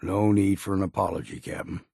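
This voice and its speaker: A weathered old hunter speaks with a deeply creaky, raspy voice. He is blunt, proud and weary, a game character with a folksy, plain-spoken drawl. The sound is very dry, with no room around him. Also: fairly even intonation, a little fast, mellow, no room sound, heavily acted. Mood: earnest. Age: older. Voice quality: gravelly, husky. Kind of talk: video game character dialogue.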